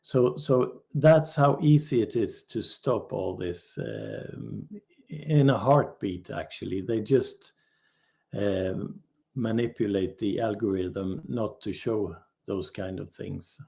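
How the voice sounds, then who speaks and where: Slow, creaky, halting man. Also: on the low side, animated, a little mellow. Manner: hesitant, halting, drawn-out phrasing with long pauses. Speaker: livestream guest. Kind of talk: casual unscripted discussion.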